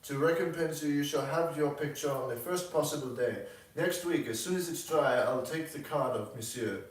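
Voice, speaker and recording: A coquettish young Frenchwoman read by a man from a storybook, effusive and shrewd. The voice sits far from the mic in a very echoey room, with formal, flattering turns of phrase. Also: on the high side, moderate pace, pitched above the performer's own voice, close to an everyday voice. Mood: coy, pleased.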